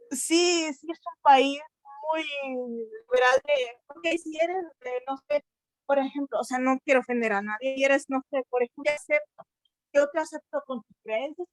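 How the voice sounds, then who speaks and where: A sixteen-year-old Mexican girl with a Mexican accent, speaking earnestly at a youth colloquium, often pausing to search for words and restarting her sentences. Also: high voice, no room sound, rough recording. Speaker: essay contest participant. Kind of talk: unscripted talk at a youth colloquium.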